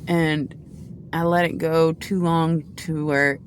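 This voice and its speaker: A woman's voice: sits low, flat delivery, slow pace, slight background noise. Chatty rambling woman reading tarot. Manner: chatty, many restarts and asides; says she has a loud voice. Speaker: tarot reader. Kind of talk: casual rambling tarot chat.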